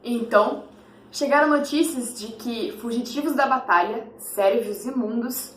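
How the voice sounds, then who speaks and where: A woman with a Brazilian accent plays a young housemaid who gossips and mocks her employers. Her voice is fairly high. It bounces around an echoey room with faint noise behind it. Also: a little slow, fairly bright tone. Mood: gossipy. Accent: Brazilian Portuguese. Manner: gossipy, mocking. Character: sharp-tongued gossiping maid, woman of 24. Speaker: acting student. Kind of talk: mock-documentary stage acting.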